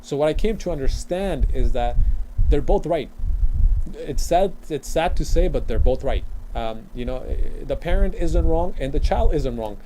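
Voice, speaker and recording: Mellow-voiced man, hesitant and talkative. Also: fairly high pitch, lively intonation, normal speed, slight background noise, poor audio. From Afghanistan. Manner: hesitant, with many fillers and restarts. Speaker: community worker guest. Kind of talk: conversational interview answer.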